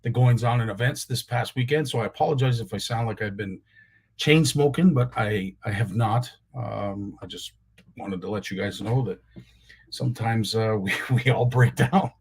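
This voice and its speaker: Male host, raspy strained voice, middle pitch, friendly roll-call of viewers. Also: normal speed, darkish tone. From Canada. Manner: greets viewers one by one. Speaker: live show host. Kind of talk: casual livestream chat.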